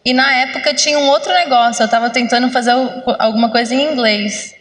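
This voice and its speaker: A woman's voice: a little high, fast talker, a bit bright. Woman guest in a reverberant room. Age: 30s. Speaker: podcast guest. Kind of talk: casual interview storytelling.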